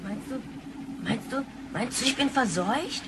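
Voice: medium pitch, measured pace, background noise, lightly acted. A young performer playing a cheeky grandchild from the future in a science-fiction radio play, slangy and scornful. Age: child or young teenager. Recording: music behind the voice.

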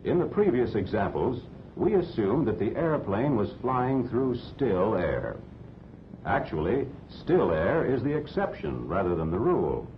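An American man reading scripted instructional narration for a 1940s training film in a measured, didactic way. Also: sing-song. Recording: dull 1940s film soundtrack, rumbling noise.

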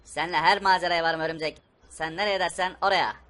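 Eager, loyal talking race car, played by a man, fast and bright, for children's game play-acting. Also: a little high, steady tone.

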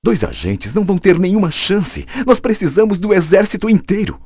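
An actor playing a bitter, agitated male professor in a dubbed video game, with a husky voice. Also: high-pitched, big pitch swings, a little fast, darkish tone, slight background noise.

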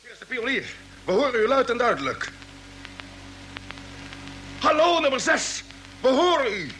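An actor playing an earnest, courteous but urgent spaceship commander in a vintage radio drama. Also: male voice, very high voice, very animated, normal speed, sharp tone, a little hiss or hum, exaggerated voice, poor audio. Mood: urgent, insistent.

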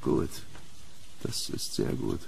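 An actor playing a wealthy, polite but uneasy gentleman archaeologist in a horror audio drama, speaking formally and deliberately. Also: close to an everyday voice. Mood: grave, confiding. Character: rich, famous, courteous but uneasy English gentleman archaeologist. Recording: noisy recording with background ambience.

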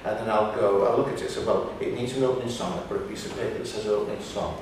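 An older man chatting casually in an interview, halting and repeating words as he tells anecdotes. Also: fairly low pitch, steady tone, darkish tone. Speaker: pantomime writer and actor. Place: small indoor room, seated on sofa. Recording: echoey room, distant mic, faint noise.

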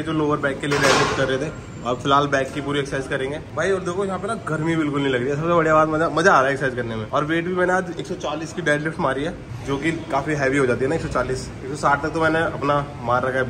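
A man in his twenties vlogs in a casual, informal way. His voice sits a little high and moves very quickly. There is faint noise behind him. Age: 20s. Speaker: vlogger. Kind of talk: casual vlog chat. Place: home rooms and a gym, indoors. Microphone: phone at arm's length.

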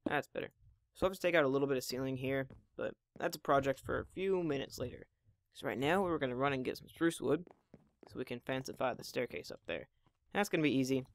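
Hoarse teenage boy with voice cracks. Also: medium pitch, a little hiss or hum. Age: teens. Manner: rambling, chatty, self-deprecating. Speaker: Minecraft gameplay channel host. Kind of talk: casual gameplay commentary.

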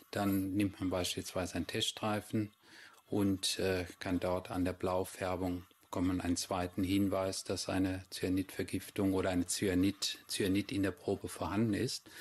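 A man giving an unscripted expert explanation as a forensic interviewee, halting and matter-of-fact. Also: sits low. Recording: noticeable noise, room ambience.

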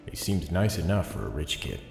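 An actor playing an earnest, guarded, weary police detective in an audio drama, dry and understated. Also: male voice, a bass voice, sing-song, fairly quick, creaky, heavily acted. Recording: reverberant hall sound, music behind.

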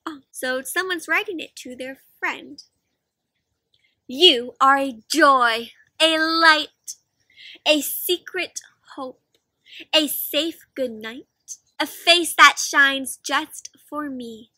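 High, very bright young woman reading to children. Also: slow, no echo. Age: young adult. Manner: expressive, asks frequent questions, gasps. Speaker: children's read-aloud host. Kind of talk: interactive picture-book read-aloud for children. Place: outdoors in a fenced backyard.

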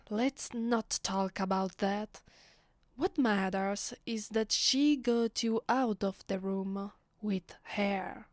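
A woman with an Italian accent voicing a haughty, commanding vampire mistress in a soft, often whispery ASMR roleplay, speaking with imperious deliberation. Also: medium pitch, dry recording. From Italy. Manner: imperious, deliberate, with lingering pauses. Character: haughty, commanding female vampire mistress addressing mortal slave. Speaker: voice actress. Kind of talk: ASMR roleplay, breathy slow monologue.

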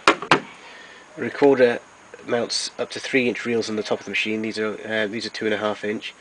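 A man with a fairly low voice narrates an informal product demonstration. He explains things plainly and a little haltingly, like a hobbyist collector. The amateur recording has noticeable noise behind him. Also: little pitch movement, pushed and bright, rough recording. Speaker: collector presenting his tape recorders.

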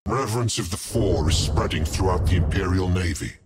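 An actor playing a silky, sinister male lieutenant of Chaos in a game cutscene, speaking in a grandiose, deliberate and menacing way. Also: deep voice, a little hiss or hum, lightly acted. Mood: conspiratorial. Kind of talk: dramatic game cutscene acting. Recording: voice under very loud music. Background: loud music.

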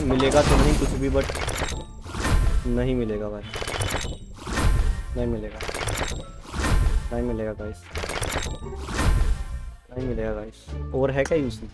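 A man doing casual gameplay commentary, chatting to viewers and repeating his phrases. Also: mid-range voice. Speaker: gaming video creator. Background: game music.

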